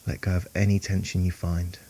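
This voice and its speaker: A man guiding a meditation in a calm, soothing, unhurried way, leaving long pauses between phrases. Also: low-pitched, a little hiss or hum. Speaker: meditation guide. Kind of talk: guided meditation narration.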